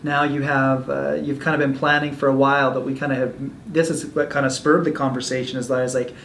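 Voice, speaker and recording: A man's voice: mid-range voice, level tone, fast, creaky, fairly bright tone, a little hiss or hum. A man in his thirties hosting an interview in an office meeting room, asking questions loosely with many fillers. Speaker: interviewer, host. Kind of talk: casual interview. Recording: echoey room from a distance.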